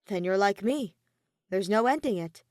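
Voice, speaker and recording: A woman's voice: mid-range voice, lively intonation, normal speed, heavy background noise, natural voice. A woman voicing a weary, withdrawn young man in denial, hesitant and subdued, in a fan fiction audio reading. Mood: resigned.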